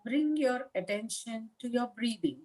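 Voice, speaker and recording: A woman leading a guided meditation as a teacher, speaking in a calm, measured manner with long pauses between short phrases. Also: on the high side. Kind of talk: guided meditation instruction, live session.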